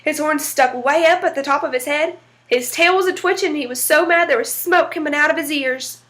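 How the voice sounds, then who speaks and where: A woman reads a folktale aloud with folksy, dramatic color. Her voice sits high. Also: a little fast, a bit bright. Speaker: storyteller. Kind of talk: read-aloud folktale narration.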